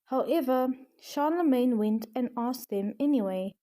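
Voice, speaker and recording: A woman from South Africa narrating a scripted video essay in a measured, explanatory way. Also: on the high side, dark tone.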